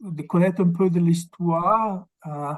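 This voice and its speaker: A man from Brazil with a Québécois French accent and Portuguese as his first language, giving a workshop lecture over a video call, halting and searching for words. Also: sits high, rough recording. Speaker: guest lecturer, researcher. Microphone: video call microphone.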